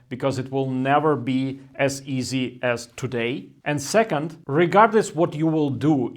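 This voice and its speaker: A man in his thirties, hosting a language-learning channel, talking through research in a steady, thoughtful way with non-native phrasing. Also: medium pitch. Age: 30s. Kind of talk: explainer talk to camera.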